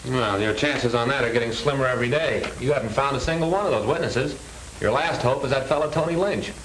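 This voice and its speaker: A man's voice: mid-range voice, fast talker, a bit bright, natural voice. An actor playing a frank, loyal young newspaperman in a 1930s film, with slangy talk. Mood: frank, concerned. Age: young adult. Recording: noisy, echoey 1930s film soundtrack.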